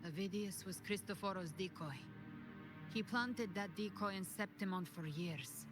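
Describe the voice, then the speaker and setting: A grave, knowing advisor in a game cutscene who explains things in a deliberate, serious way. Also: medium pitch, lightly acted. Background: game music.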